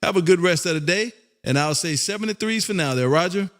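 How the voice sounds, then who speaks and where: A man from Texas hosting a ham radio live stream with a loud, showy, repetitive on-air patter. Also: high voice, lively intonation, a little fast, very noisy. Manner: loud, showy, repetitive, shouts and half-sings call signs. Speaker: amateur radio operator and live stream host. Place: radio room.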